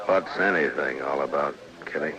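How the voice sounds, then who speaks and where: An American actor playing a stern, dryly sardonic frontier marshal in an old-time radio western, deliberate and laconic. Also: male voice, extremely low pitch, crowd noise, rough recording. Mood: subdued, weary.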